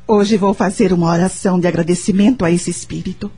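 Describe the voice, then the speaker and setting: An actress playing a devout, tactful young Brazilian wife, speaking sincerely and a little tentatively in a radio drama. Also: female voice, fairly low pitch, steady tone, quick pace, dark tone, lightly acted. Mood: confiding, tentative. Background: background music.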